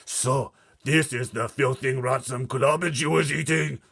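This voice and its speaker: A man voicing a huge, bullying, man-eating giant in a storybook, booming out threats and mangled words. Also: fairly even intonation, measured pace, gravelly, fairly bright tone, slightly rough, no echo, studio quality, full character voice. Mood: scornful. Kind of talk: storybook read-aloud character voice.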